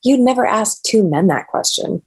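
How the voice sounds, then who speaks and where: A woman's voice: medium pitch, lively intonation, moderate pace, a little mellow, husky. A woman reading the part of a feisty, teasing young elite mountain climber in a script table read. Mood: scoffing, defiant.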